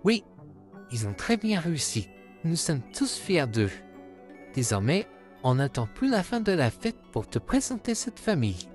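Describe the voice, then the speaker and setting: A man's voice: on the high side, sing-song, unhurried, a little mellow, faint music behind. Man as a polite, lilting living puppet. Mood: agreeable, proud. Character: polite, friendly male puppet come to life. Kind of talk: French fan comic dub acting.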